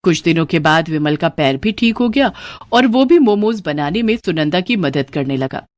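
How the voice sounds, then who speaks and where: Woman reading a cartoon moral tale, steady simple storytelling, pitch moves a lot. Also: moderate pace. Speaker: narrator. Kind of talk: scripted storybook narration.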